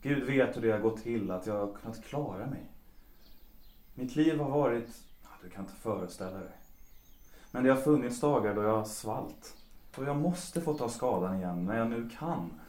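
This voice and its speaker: An actor playing a cynical, self-destructive bohemian composer in a period audio drama, world-weary and mocking, with self-pity underneath. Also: male voice, medium pitch, animated, darkish tone, close to an everyday voice. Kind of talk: period stage-play audio drama acting in Swedish. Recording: roomy, echoing sound.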